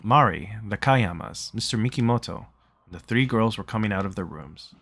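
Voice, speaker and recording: A man with a fairly low voice narrates a visual novel. He reads the text aloud in a casual way, as a game streamer does. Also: lively intonation, moderate pace, fairly bright tone.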